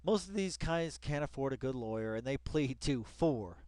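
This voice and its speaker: A man's voice: medium pitch, expressive, moderate pace, a little mellow, no room sound. A man hosting a casual read-along commentary in slangy, colloquial speech, emphatically repeating phrases and addressing people directly. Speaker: online video host.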